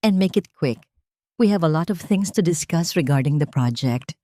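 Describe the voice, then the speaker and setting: A woman's voice: low voice, lively intonation, normal speed, warm tone, no room sound, studio quality. A woman voicing a cold, bossy rich husband in a story, curt and commanding.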